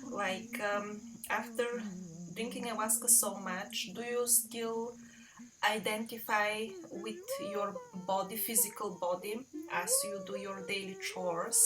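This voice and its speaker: A woman's voice: medium pitch, lively intonation, takes it slowly. A woman interviewer asking questions in a conversational style. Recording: distant mic, clear crowd noise behind.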